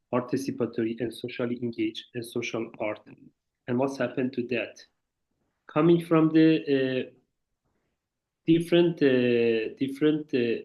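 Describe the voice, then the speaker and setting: Halting man. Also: medium pitch. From Iran. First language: Farsi. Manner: halting, searching for words in a second language. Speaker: visiting artist. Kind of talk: informal talk over a video call. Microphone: video call. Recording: muffled video-call audio.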